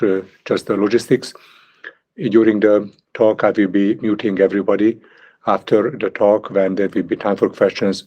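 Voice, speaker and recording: A man introducing a speaker and explaining logistics as host of an online meeting over a video call, pausing often on uh. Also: lowish voice, darkish tone, husky. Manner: halting, with many uhs.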